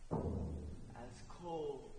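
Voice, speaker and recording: An actor playing a reflective, earnest old servant man in a stage tragedy, speaking in measured, poetic declamation. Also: medium pitch, music in the background. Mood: wistful. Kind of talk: theatrical stage acting. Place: school theatre stage. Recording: echoey hall, some background noise.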